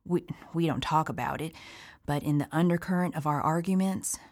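A woman's voice: low voice, a little fast, a little mellow. An actress playing an imprisoned mother who worries about her children, weary and resentful in an audio play monologue. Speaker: incarcerated mother.

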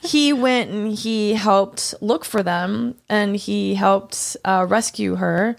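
A woman's voice: mid-range voice, takes it slowly, vocal fry. A woman from East Texas chatting casually on a podcast, telling a rambling story with lots of restarts. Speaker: guest co-host.

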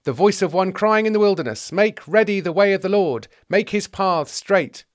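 A man's voice: sits high, lively intonation, normal speed, no room sound, studio quality, pitched above the performer's own voice. A man playing an earnest, muddled disciple who quotes scripture pompously in a comedy sketch. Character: bumbling, earnest male disciple quoting scripture.

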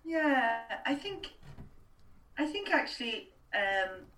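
A woman's voice: sits high, big pitch swings, takes it slowly, fairly bright tone. A woman working as a voice coach, a Welsh speaker, answering interview questions in a chatty way with many restarts and fillers. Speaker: voice and accent coach. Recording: distant, roomy sound.

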